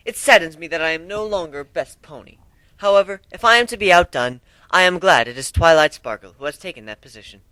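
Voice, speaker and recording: A regal pony princess, haughty and melodramatic, put on by a man. The voice is high and bright, with formal, grand phrasing. It fits a cartoon fan story. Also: no echo, poor audio.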